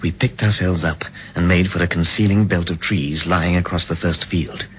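An actor playing a resolute hero who also narrates, speaking in a clipped, commanding way in a 1950s radio drama. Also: male voice, deep voice, steady tone, normal speed, a bit bright, slight background noise, rough recording. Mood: narrating. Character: resolute male hero and first-person narrator.

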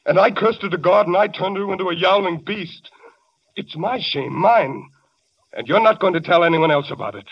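A desperate young husband in an old radio drama, pleading over and over in a breathless rush. His voice sits a little high and swings widely, with a husky edge. The old broadcast sounds dull and lo-fi. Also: animated, normal speed, heavily acted. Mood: anguished anger. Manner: pleading, breathless, repeats himself. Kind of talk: 1940s radio acting.

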